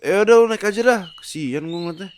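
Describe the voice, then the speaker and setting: A man voicing a brash, blunt pig character in a bedtime story, loud and exclaiming in Jakarta street slang. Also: high voice, lively intonation, quick pace, a little hiss or hum, voice pushed up. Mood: brash, exasperated.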